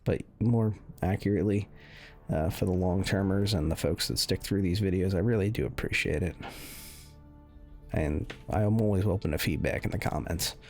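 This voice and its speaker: Male game video host, very deep and creaky, casual and unscripted, measured thinking-aloud delivery, light music underneath. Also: a little mellow. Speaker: gaming video host, commentator. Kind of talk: casual off-the-cuff commentary over game benchmark footage.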